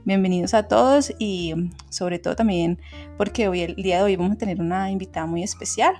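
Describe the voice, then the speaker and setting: A woman hosts a podcast, opening the show and welcoming listeners and her guest. Her voice is mid-pitched and even. Faint guitar music plays beneath her. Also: brisk. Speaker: podcast host. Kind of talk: podcast hosting.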